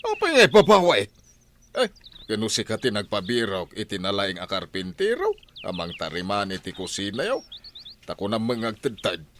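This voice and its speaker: A gruff, stingy farming husband in an Ilocano radio drama, acted by a man. His low voice leaps wildly as he grumbles and exclaims in an Ilocano accent. Faint noise sits behind him. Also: wildly swooping pitch, normal speed, fairly bright tone. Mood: grumbling. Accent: Filipino, Ilocano.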